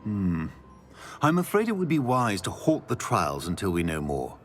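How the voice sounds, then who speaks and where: Figure: a courtly old wizard professor. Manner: grave, very animated. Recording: faint music. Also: male voice, lowish voice, moderate pace. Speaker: game character. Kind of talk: game cutscene acting.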